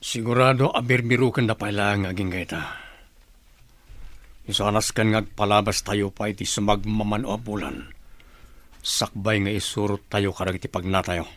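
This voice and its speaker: Man acting low-voiced stern rebel leader. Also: steady tone, normal speed, long pauses, fairly bright tone, no room sound, natural voice. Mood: firm, cautious. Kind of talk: radio drama acting.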